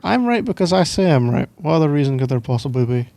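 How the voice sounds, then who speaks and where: A man's voice: fairly high pitch, big pitch swings, quick pace, gravelly, dark tone, no room sound. A young Scottish man doing casual boyfriend roleplay ASMR in a playful, teasing, rambling way, close to the microphone. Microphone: close microphone, dry sound.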